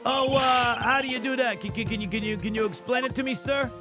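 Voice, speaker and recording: An anxious police detective from a video game, voiced by a man, pitched very high for a male. He blusters and pleads, stammering as he defers to his superior, with music playing clearly behind him. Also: brisk. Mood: flustered.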